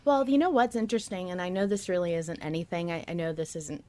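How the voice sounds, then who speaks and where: A woman's voice: fairly low pitch, fast, warm tone. A woman co-hosting a podcast, chatting informally and animatedly as she thinks aloud about a story she follows. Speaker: podcast co-host. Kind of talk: casual fan discussion chat.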